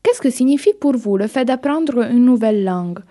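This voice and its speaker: A woman hosting a radio book show, reading a prepared presentation and asking interview questions in a measured way. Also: on the high side, expressive, mellow. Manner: measured, reads from a script.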